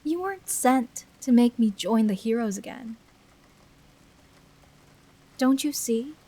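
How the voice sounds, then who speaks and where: Woman acting a slow-spoken, high-voiced fallen heroine. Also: lively intonation, mellow, husky, full character voice. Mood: gentle, grave. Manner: deliberate, dramatic, pausing between short phrases. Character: fallen hero turned villain, bitter, fiercely protective, lovesick woman. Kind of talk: emotional confessional audio roleplay.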